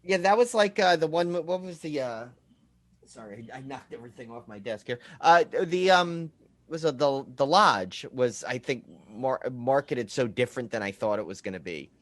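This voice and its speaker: A man's voice: mid-range voice, lively intonation, moderate pace, no echo. A man hosting a casual film chat, rambling and often trailing off mid-thought.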